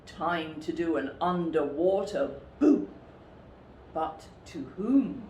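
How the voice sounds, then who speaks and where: A woman reading a children's story expressively, with a dramatic, deliberate delivery. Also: low-pitched, lively intonation, dark tone. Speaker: storybook reader for a school story time. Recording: roomy, distant mic, faint background noise.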